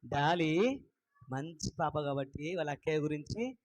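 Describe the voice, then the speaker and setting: A man's voice: on the high side, expressive, measured pace. A man hosting a children's puppet show, encouraging and instructive, often putting questions to the kids. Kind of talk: animated children's ministry presenting.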